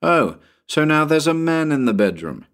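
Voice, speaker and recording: A man as an easygoing, wry, animated fellow. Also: medium pitch, measured pace, voice pushed up. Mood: teasing, skeptical. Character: easygoing, wry male companion, teasing.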